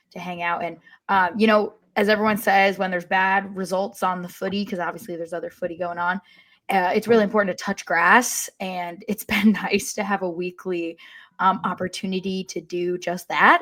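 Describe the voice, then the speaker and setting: A woman's voice: medium pitch, fairly bright tone. A woman from upstate New York chatting casually as a podcast panelist. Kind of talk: casual chat.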